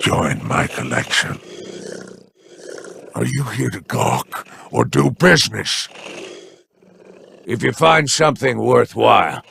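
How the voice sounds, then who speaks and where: A sly alien crime boss from a video game, with a deeply gravelly, growling voice. He slides widely up and down as he trades in mock courtesy and unhurried menace. There is a faint noise behind him. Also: exaggerated voice. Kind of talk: video game character voice lines.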